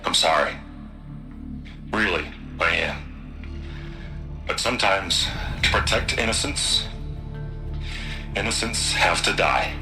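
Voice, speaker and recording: An actor playing a cold, remorseful-sounding villain in a video game, speaking in deliberate, clipped phrases. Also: male voice, low-pitched, animated, music in the background, heavily acted. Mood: cold, regretful. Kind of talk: video game cutscene acting.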